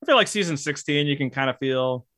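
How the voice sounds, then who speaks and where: A man in casual chat who talks in a steady, even way, with lots of likes and fillers. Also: medium pitch, quick pace, dry recording, studio quality. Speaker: podcast guest, YouTuber. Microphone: close mic, hands bump it.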